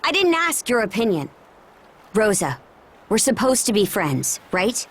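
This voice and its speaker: An actress playing a brash, loyal young warrior princess in a video game, with a casual, blunt, commanding manner. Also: female voice, medium pitch, big pitch swings, unhurried, slightly rough. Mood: defiant, stern. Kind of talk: English video game voice acting.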